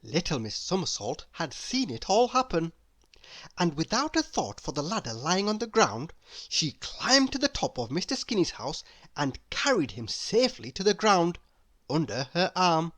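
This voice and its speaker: A woman reading a children's story aloud expressively, addressing young listeners with dramatic pauses. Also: on the low side, sing-song, a bit bright.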